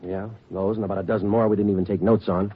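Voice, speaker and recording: A man's voice: on the low side, little pitch movement, fast, slight background noise, close to an everyday voice. An American man acting a terse, dogged police sergeant in a 1950s radio drama, clipped and matter-of-fact. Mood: weary, terse. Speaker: detective and narrator. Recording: muffled vintage radio recording, music behind.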